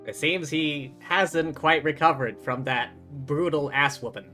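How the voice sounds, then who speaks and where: A man's voice: on the high side, a little slow, sharp tone. A man giving casual live commentary on a game as he streams it. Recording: game music behind the voice.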